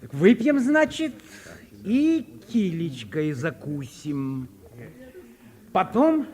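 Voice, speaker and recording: A man's voice: sits high, full character voice. An actor playing a fawning, timid minor clerk in a 1950s Russian radio play, fussy and coaxing with his companions. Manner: fussy, coaxing, hushed when cowed. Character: fawning, timid male minor clerk hosting hungry colleagues.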